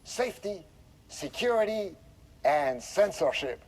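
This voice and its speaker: An actor playing a falsely cheery, smug research director presenting a stilted corporate welcome video in a game. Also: male voice, high voice, sing-song, measured pace, full character voice, low-quality recording. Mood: forced cheer. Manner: chirpy, stilted presenter delivery.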